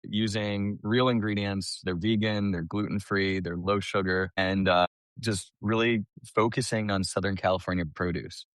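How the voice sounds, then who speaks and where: A man from the US East Coast tells personal stories as a podcast guest. His lowish voice is chatty and colloquial, peppered with "like", and recorded close and dry. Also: flat delivery, moderate pace, a bit bright, smooth, no room sound. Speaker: podcast guest, small business owner. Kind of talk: casual personal storytelling.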